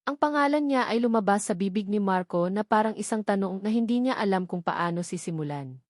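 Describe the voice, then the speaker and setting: A woman narrates a scripted story dramatically, in short, measured, suspenseful phrases. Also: medium pitch, lively intonation, darkish tone, no room sound, clean studio sound.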